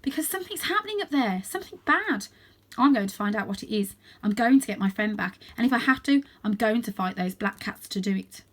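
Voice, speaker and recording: An earnest young tomcat from a children's storybook, played by a woman, speaks in a raised, high voice. He is anxious yet determined, pressing each point with urgent emphasis. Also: lively intonation, fairly quick, a bit bright.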